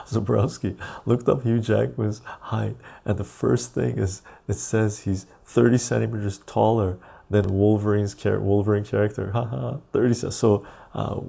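A man's voice: fairly low pitch, vocal fry, warm tone, husky. A man whose first language is Armenian, hosting a casual livestream, reading viewer comments aloud with frequent chuckles and rambling asides. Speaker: livestream host. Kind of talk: casual live-stream chat.

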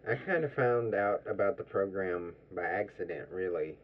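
A teenage boy from Kentucky describing how he found the program in a relaxed, storytelling way. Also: deep voice, normal speed. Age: teens. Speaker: student guest. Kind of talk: radio interview. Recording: dull muffled sound.

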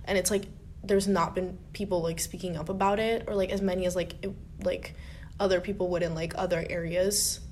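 A young woman co-hosting a casual roundtable discussion, outspoken and animated, with lots of filler words and a strong voice. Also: lowish voice, a little fast, slight background noise. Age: young adult. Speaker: staff writer and podcast co-host.

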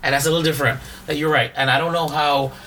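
A hoarse man talking things through as a podcast co-host, pitched a touch high. He rambles, circles back and repeats himself while making a point. Faint noise sits under the voice. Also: fairly even intonation, quick pace, sharp tone, low-quality recording. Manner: rambling, repeats words and restarts often. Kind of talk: casual chat.

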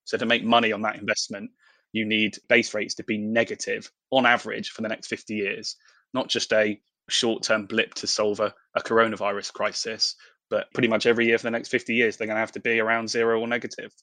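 A man's voice: lowish voice, sharp tone, dry recording. A man speaking as an expert interview guest, explaining things conversationally. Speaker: investment professional, interview guest.

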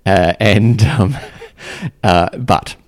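A man hosting a podcast interview, speaking gently and haltingly with many fillers and restarts. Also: fairly low pitch, no room sound, studio quality. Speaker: podcast host. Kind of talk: casual interview. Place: Canberra.

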